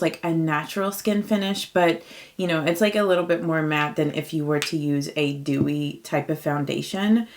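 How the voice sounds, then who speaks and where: A woman in her late thirties chatting casually through a makeup routine, upbeat and full of fillers, her voice a touch raspy from early morning. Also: fairly low pitch. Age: 30s. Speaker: beauty vlogger. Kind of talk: casual get-ready-with-me chat.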